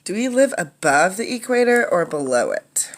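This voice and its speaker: A woman reading a picture book aloud as a teacher, in an instructive way, pausing to explain and ask questions. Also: medium pitch, big pitch swings.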